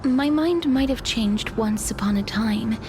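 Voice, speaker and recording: A woman playing a gentle, solemn holy maiden of death in a fantasy game, speaking softly and formally in a measured, melancholy way. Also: fairly high pitch, noisy background. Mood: resolute. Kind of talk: dubbed fantasy game dialogue. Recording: orchestral game music behind.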